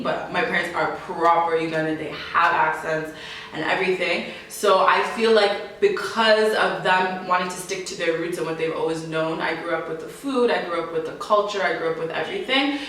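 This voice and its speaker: Bright, chatty young woman in an echoey room. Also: lowish voice, moderate pace, distant mic. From Canada. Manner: laughs often, animated, chatty. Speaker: interview guest. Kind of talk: casual interview answers.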